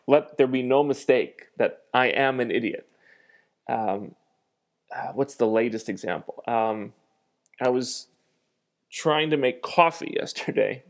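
Young guy with a nasal voice, talking solo on his podcast, reflective and self-deprecating, lots of pauses. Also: medium pitch. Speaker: podcast host.

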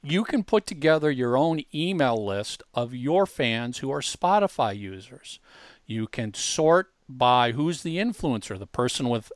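Man giving an emphatic, informal software walkthrough. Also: medium pitch, animated, unhurried, no echo. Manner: informal, emphatic, addresses listeners directly. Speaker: co-host demonstrating an online tool.

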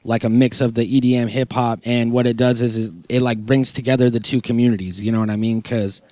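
A man of medium pitch speaks with a soft, dark tone. He chats informally and slangily, as on a podcast, and the sound is dry with no room around it. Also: flat delivery, fairly quick, no room sound. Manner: informal, slangy, peppered with "you know". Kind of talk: casual podcast chat.

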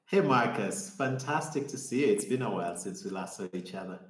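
Deep-voiced man, roomy call. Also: expressive. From Kenya. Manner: reflective, measured storytelling. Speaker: guest. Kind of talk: conversational interview answers. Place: home or office in Nairobi. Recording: roomy echoey remote call.

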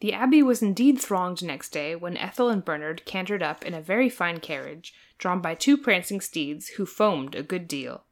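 A woman's voice: fairly low pitch, animated, moderate pace, hardly any pauses. A woman co-hosting a podcast, reading an old novel aloud as an amused, dramatic narrator.

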